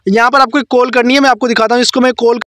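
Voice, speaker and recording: Very high-pitched, fast-talking male tech host. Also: flat delivery, a bit bright. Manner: explanatory, mixes English words into speech. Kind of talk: informal screen-recorded phone tutorial narration.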